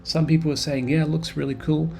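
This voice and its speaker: Gravelly man rambling through a devlog. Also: a little high, flat delivery, fast, a little mellow, soft background music. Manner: rambling, self-deprecating, with many ums. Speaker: game developer vlogger. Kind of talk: casual devlog narration over screen recording.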